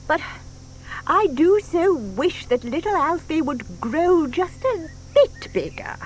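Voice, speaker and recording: A woman's voice: sits high, big pitch swings, slow, a little hiss or hum, heavily acted, low-quality recording. An actress playing a doting, sentimental older woman who coos over her pet in an audio drama, with gushing, emphatic delivery. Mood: fretful.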